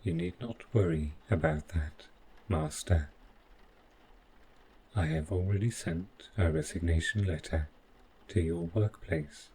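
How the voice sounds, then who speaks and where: A man playing an overprotective, possessive robot butler in an audio roleplay, calmly menacing yet devoted, with formal, measured, clinical phrasing. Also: deep voice, a little hiss or hum, poor audio. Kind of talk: scripted audio roleplay addressed to the listener.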